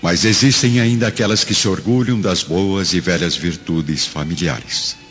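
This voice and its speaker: A man's voice: sits low, normal speed, fairly bright tone. A man narrating a radio drama in a solemn, literary, scripted style. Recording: dull audio. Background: music.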